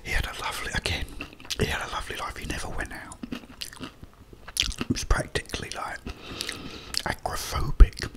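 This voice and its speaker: A man's voice: moderate pace, long pauses, poor audio. Whispering middle-aged British man. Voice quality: breathy whisper. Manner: rambling, confiding, addresses viewers directly. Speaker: ASMR video creator. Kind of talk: whispered ASMR storytelling, casual chat.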